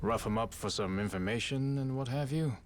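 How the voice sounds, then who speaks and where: A man's voice: mid-range voice, fairly quick, soft background music, close to an everyday voice. A man playing a pragmatic, wary yakuza henchman in a video game, speaking casually in dry tough-guy slang. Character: pragmatic, wary adult male yakuza underling. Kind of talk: video game cutscene acting.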